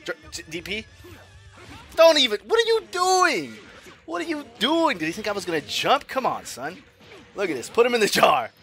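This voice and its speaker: A man in his forties talks through his online fighting game matches, muttering plans one moment and yelling at his opponent the next. His voice sits high and bright and swings widely in pitch. He curses freely and mocks himself between rounds, while game music plays faintly behind him. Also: huge pitch swings, moderate pace. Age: 40s. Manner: profane, self-mocking trash talk. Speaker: gaming YouTuber. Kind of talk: live gameplay commentary.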